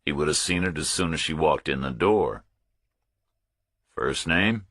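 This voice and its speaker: A man's voice: a bass voice, a little fast, fairly bright tone, slightly rough, dry recording, studio quality. A man narrating a first-person thriller audiobook in a terse, matter-of-fact way.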